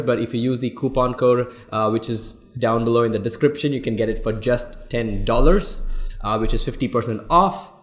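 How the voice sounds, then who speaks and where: A man with an Indian accent hosts a software tutorial in a mid-pitched voice. He talks casually and informally, walking viewers through each step as a designer showing his screen. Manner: informal, addresses viewers as guys, explains step by step.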